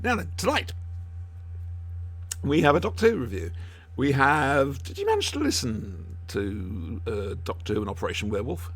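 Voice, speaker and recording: A man's voice: medium pitch, huge pitch swings, normal speed, fairly bright tone, breathy edge, dry recording. A man hosting a podcast in casual, jokey chat, often rambling and losing his thread. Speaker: podcast host.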